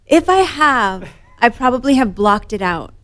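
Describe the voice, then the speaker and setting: A woman's voice: fairly high pitch, lively intonation, unhurried, a bit bright. A woman from Morocco, a guest on a podcast, telling stories cheerfully and laughing often in casual chat.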